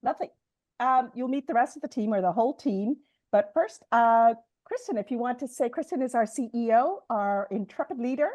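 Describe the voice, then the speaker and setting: A woman facilitating a webinar over a video call, introducing the speakers in a halting way with many fillers. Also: fairly high pitch, animated, a little mellow, no echo. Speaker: session facilitator. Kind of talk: hosting a webinar. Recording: dull lo-fi call audio.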